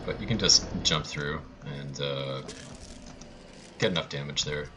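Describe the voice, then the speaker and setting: A man's voice: rumbling low, measured pace, background noise. A man giving casual live speedrun commentary with offhand, joking asides.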